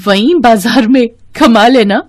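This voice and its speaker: A woman voicing excitable young twin sisters in an expressive, chatty way for a dramatized moral story. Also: fairly high pitch, unhurried, dry recording, close to an everyday voice. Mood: curious, teasing.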